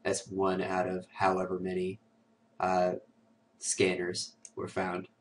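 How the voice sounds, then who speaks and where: A man with a cold talks through a software demo in a low, flat, raspy voice, hoarse and sniffly. His delivery is casual and loose, padded with "uh" and "um". He sits a short way from the mic. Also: slow pace, recorded from a distance, a little hiss or hum. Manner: casual, filler-heavy walkthrough with many "uh" and "um". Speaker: host of a security tutorial show. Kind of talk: screen-recorded software tutorial.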